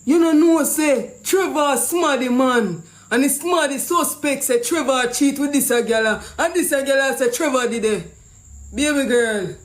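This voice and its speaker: A Jamaican man with a strikingly high-pitched voice runs a prank call show. He comments in animated Patois, full of exclamations, curses and repeated phrases. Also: a little slow. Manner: exclamatory, repeats himself, swears.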